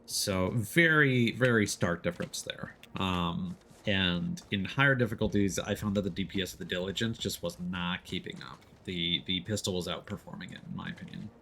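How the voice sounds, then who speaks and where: A man's voice: sits low, normal speed, few pauses, sharp tone. A man giving casual gameplay commentary on a stream, thinking aloud in a hesitant way as he plays. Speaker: livestreamer, gamer. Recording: clear game noise behind. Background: video game sounds.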